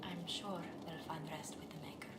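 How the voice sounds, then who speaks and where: An actress playing a refined, earnest court diplomat in a video game, with courteous and formal speech. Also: female voice, fairly low pitch, steady tone, moderate pace, reverberant, heavy background noise, lightly acted. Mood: sorrowful. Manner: courteous, formal, elegant phrasing. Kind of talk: scripted video game dialogue.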